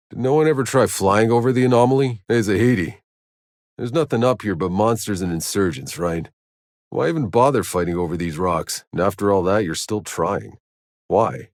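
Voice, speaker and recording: A man playing a gruff, weary, sardonic sci-fi soldier in a video game, with terse, dry, world-weary delivery. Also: deep voice, dry recording. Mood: grim, weary. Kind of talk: video game character voice lines.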